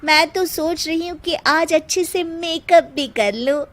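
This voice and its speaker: A woman's voice: very high voice, a little slow, fairly bright tone, voice pushed up. Woman doing a squealing prankster little sister. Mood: playful. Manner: giggly, squealing, teasing. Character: mischievous teenage girl, prankster younger sister. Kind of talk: cartoon story voice acting.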